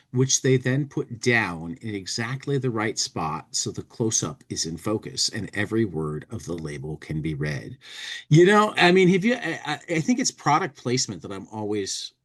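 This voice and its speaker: A man's voice: mid-range voice, a bit bright. A man co-hosting a casual talk show, commenting and reading aloud, often repeating words as he works out a thought. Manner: halting, repeats words while working out a thought. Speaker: podcast co-host.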